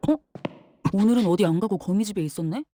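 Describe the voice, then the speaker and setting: A woman's voice: medium pitch, quick pace, mellow, no room sound, studio quality, close to an everyday voice. An actress playing a breezy, teasing woman in a game, chatting casually and pushing with questions. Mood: playful. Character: breezy, teasing female acquaintance from the spider's house. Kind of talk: scripted game character dialogue.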